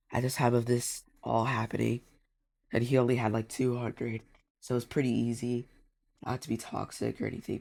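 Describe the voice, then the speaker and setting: Congested young man with a gravelly voice. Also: mid-range voice, a little mellow. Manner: rambling, repeats himself, slangy. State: congested from a cold. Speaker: gaming YouTuber. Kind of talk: casual storytime commentary.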